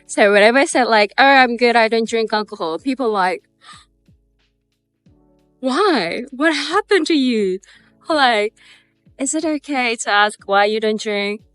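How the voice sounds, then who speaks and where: A woman's voice: fairly high pitch, lively intonation, measured pace, long pauses, a bit bright, clean tone, no echo, soft background music. A Japanese woman in her twenties with a Japanese accent tinged with Australian and British sounds, chatting casually through a Q&A vlog, bubbly and full of "like" fillers. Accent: Japanese with Australian and British traces. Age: 20s. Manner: bubbly, lots of "like" fillers and laughs. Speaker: vlogger.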